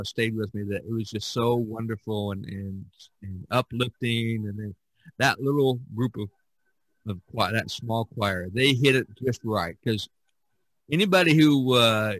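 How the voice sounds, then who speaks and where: A man hosting a casual podcast chat, reminiscing in a halting, rambling way and often repeating his words. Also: on the low side, fairly bright tone, dry recording, low-quality recording. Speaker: podcast host.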